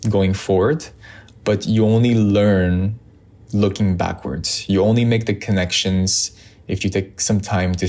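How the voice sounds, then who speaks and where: A man from Montreal with a Quebec French accent talks in a deep, low voice. French is his first language, and he muses aloud in a loose, reflective way, often stopping to restart a thought. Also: a little mellow. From Montreal, Canada. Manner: loose and musing, many ums and restarts. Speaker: vlogger. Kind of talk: reflective casual talk to camera.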